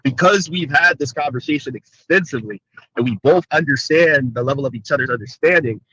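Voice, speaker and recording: A man joining an online panel by call, explaining his view at length in a measured way. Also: medium pitch, bright, forceful tone, slightly rough, no room sound, rough recording. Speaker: panel guest. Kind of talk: casual podcast chat.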